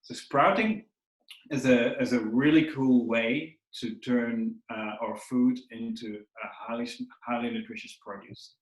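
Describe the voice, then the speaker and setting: A man's voice: mid-range voice, steady tone, unhurried, lo-fi sound. A man whose first language is Dutch teaches children over a video call in a friendly way, often repeating words as he searches for them. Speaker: workshop instructor. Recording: roomy echo.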